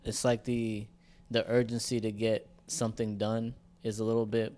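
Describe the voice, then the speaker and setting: A man's voice: on the low side, flat delivery, normal speed, a little mellow. A young man co-hosting a podcast, telling stories in loose, profane slang. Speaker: podcast co-host. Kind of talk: casual chat.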